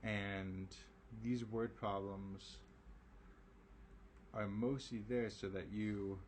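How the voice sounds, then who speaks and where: A man with a low, raspy voice works through an algebra lesson, tired from the late hour. He keeps it casual and reassuring, checking in with lots of ums and okays. A faint noise sits behind him. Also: steady tone, extremely slow, darkish tone. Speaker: math teacher. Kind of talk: recorded math lesson.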